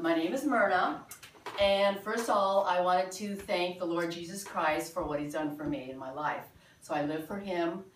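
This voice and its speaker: An older woman speaks earnestly to a small meeting, her lowish voice stopping often for ums and uhs. She sounds far from the mic in an echoey room with a faint hum of ambience behind her. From Philippines. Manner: halting, many fillers, earnest. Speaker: presenter at a sales meeting. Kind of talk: informal presentation.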